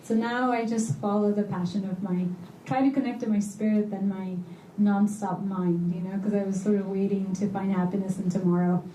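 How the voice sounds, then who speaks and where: Very dark-voiced middle-aged woman, echoey room. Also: medium pitch, steady tone, normal speed. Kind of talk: personal reflective talk. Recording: echoey room, distant mic, faint noise.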